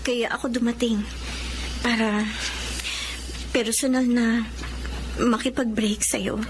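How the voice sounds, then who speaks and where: An actress with a Filipino accent playing a young sweetheart in a romantic radio drama. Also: female voice, on the high side, little pitch movement, takes it slowly, noisy background. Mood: firm, uneasy. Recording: loud music nearly covering dialogue.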